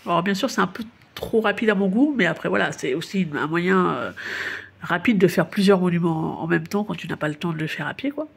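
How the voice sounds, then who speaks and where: A woman's voice: fairly low pitch, a little fast, gravelly, slightly rough. A woman from Paris giving a casual spoken tour, chatty and informal, laughing at her own slips. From Paris, France. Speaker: narrator of a guided city tour. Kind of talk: casual spoken tour narration addressed to the listener.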